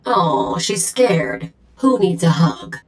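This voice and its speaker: A woman's voice: lowish voice, very animated, slow pace, echoey room. A woman playing a mocking, cocky sidekick in a video game, sardonic and teasing.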